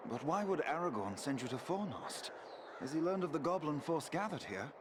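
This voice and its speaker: A man's voice: on the high side, big pitch swings, moderate pace, background noise. A man playing a noble, courtly elf warrior in a fantasy game, speaking formally. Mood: questioning. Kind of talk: fantasy game cutscene acting.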